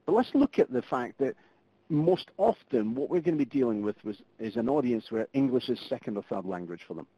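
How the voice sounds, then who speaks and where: A man's voice: mid-range voice, expressive, fairly quick, slightly rough, no echo. Man presenting a webinar. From Scotland. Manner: conversational, lots of fillers and restarts. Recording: muffled web conference audio.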